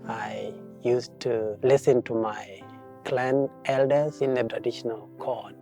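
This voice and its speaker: A man's voice: mid-range voice, steady tone, slow pace, warm tone, faint music behind. A young man from South Sudan with a South Sudanese accent, earnestly telling his life story in a documentary interview.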